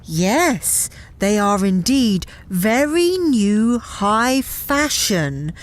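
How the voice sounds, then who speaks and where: A woman's voice: medium pitch, sing-song, drawn-out words. A woman playing a brash, irritable starship captain in an audio drama, with a blunt, exasperated delivery. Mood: flustered.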